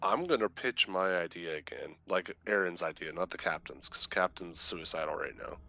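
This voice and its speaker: Guy playing tabletop rpg, sharp bright voice a bit low, pitching ideas casually. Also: normal speed, gravelly. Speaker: player in a tabletop game. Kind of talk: casual in-game planning.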